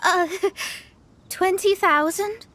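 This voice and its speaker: A woman's voice: very high-pitched, drawn-out words, fairly bright tone, a little hiss or hum, exaggerated voice. A woman playing a proud, lofty young astrologer in a video game, speaking formally and precisely. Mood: flustered, feigning indifference. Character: proud young female astrologer, lofty, principled, easily flustered. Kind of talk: video game character acting.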